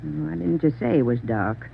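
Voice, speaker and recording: A man's voice: a little high, expressive, normal speed, gravelly, phone-quality sound. An actor playing a suspicious, stern landlady in a 1940s radio drama, brusque and scolding, on a crackly old recording. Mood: irritated, scolding. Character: suspicious, stern rooming-house landlady, protective of roomers.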